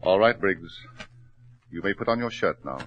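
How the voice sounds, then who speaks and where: An actor playing a grave, outwardly sympathetic doctor in 1940s radio drama style, speaking in a measured, solemn, persuasive way. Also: male voice, deep voice, expressive, dull audio, over loud music.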